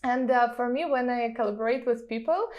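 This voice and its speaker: Female interviewer for a podcast, fairly high voice, conversational delivery. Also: brisk, darkish tone. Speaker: podcast host.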